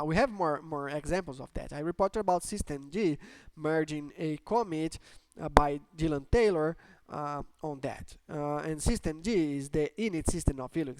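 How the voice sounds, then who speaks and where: A man's voice: fairly high pitch, darkish tone, dry recording. A man from Brazil speaking English with a Brazilian Portuguese accent, explaining tech topics in a hesitant, filler-heavy casual talk. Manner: hesitant, many fillers and restarts. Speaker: tech channel host.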